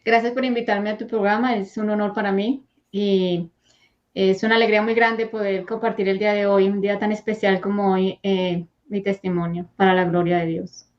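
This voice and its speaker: A woman's voice: medium pitch, level tone, normal speed. Earnest woman, muffled sound. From Colombia. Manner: soft, earnest and heartfelt, with gentle fillers. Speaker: guest, host's wife. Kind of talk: personal faith testimony. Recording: dull, lo-fi sound.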